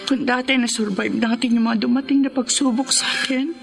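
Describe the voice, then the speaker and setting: A devoted wife in a radio melodrama. Her high voice is tender and imploring, heavy with tears, over clearly audible dramatic music. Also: fairly even intonation, a little fast. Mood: reassuring. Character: devoted, pleading wife nursing her sick husband.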